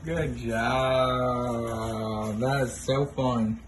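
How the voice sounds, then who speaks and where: Man, helper, short encouraging remarks, unhurried, noisy background. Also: medium pitch, steady tone.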